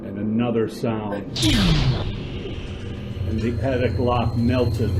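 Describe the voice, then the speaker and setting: A man narrating a suspense radio drama in a dramatic, scripted storytelling style. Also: mid-range voice. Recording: noisy amateur radio drama recording.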